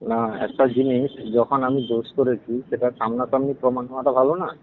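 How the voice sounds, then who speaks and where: A man's voice: medium pitch, little pitch movement, fairly quick, slight background noise. A man in a casual phone conversation, protesting and questioning over a narrow phone line. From West Bengal, India. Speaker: prank call victim. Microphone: telephone.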